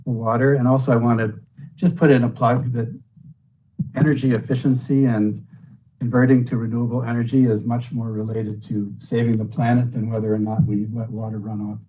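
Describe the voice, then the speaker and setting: A hoarse man, a county commissioner, making a measured, reasoned case at a public meeting. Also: mid-range voice, level tone, dark tone, low-quality recording. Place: meeting room.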